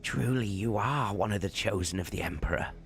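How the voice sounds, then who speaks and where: A man voicing an earnest, devout male starship crewman in a hushed dramatic audiobook reading. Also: lowish voice, a little fast, creaky, faint music behind, voice pushed up. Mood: reverent awe.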